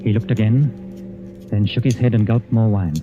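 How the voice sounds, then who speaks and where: An actor playing an uneasy gentleman narrator, telling his tale in a dramatic old-time radio style. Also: fairly low pitch, steady tone, moderate pace, close to an everyday voice. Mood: tense narration. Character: uneasy gentleman guest recounting a grim dinner. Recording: dull vintage radio recording, music behind.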